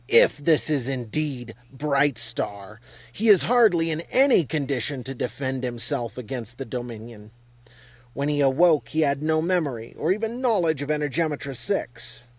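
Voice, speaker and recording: A man's voice: medium pitch, animated, moderate pace, no echo, close to an everyday voice. A curt, contemptuous envoy, as the narrator puts him on, each line clipped and cold. He is impatient to be gone. The voice stays close and dry. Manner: clipped and icy.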